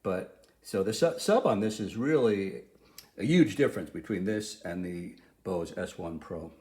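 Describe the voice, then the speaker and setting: A man's voice: deep voice, expressive, unhurried, darkish tone. A man giving a casual, conversational product review and demonstration, feeling his way through sentences and correcting himself.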